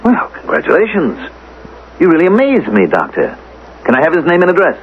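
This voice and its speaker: An actor playing a cold, officious investigator in an old-time radio drama, formal, pointed and condescending. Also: male voice, a little high, huge pitch swings, brisk. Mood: sardonic. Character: cold, officious male investigator toying with suspects. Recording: tinny, noisy, band-limited old radio recording.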